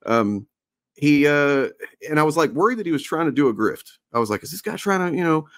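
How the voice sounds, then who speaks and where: Host, male, rapid-fire blunt delivery, dry close sound, swears often. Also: a little high, big pitch swings, a bit bright, dry recording. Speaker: livestream host. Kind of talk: casual livestream commentary.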